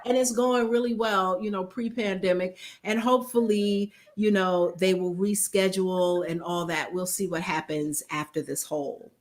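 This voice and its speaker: Woman, middle-aged, from Brooklyn, animated, laughs a lot, video call chat. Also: mid-range voice, moderate pace, hardly any pauses. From Brooklyn, New York, USA. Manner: animated, laughs often while talking. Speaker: guest, concert singer. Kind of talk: casual interview chat.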